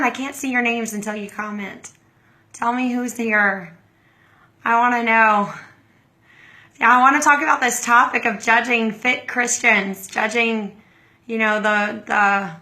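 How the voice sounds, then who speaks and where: A hoarse middle-aged woman hosting a casual livestream, chatting and greeting viewers by name. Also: a little high, bright, forceful tone. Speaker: livestream host.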